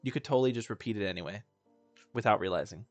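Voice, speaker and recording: A man's voice: fairly low pitch, fast talker, a little mellow, dry recording, highs cut off, soft background music. A man doing casual, opinionated reaction commentary as a streamer, talking in a slangy, rambling way.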